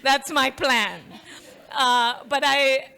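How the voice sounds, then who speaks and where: A middle-aged woman with an Indian accent speaks to an audience in a university auditorium. Her voice sits high and has a bright edge. She talks fast and conversationally, with frequent light laughs. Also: vocal fry, clean tone. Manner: quick, warm, laughs often, conversational. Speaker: professor giving a talk. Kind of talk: conference talk to an audience.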